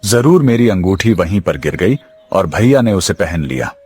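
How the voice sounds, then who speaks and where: Male actor as scheming younger brother, low-pitched, swooping intonation, agitated, hurried, cartoon drama. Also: sing-song, darkish tone, husky, soft background music, exaggerated voice. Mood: agitated, demanding. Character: scheming, excitable younger brother, greedy villager. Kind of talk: Hindi cartoon drama acting.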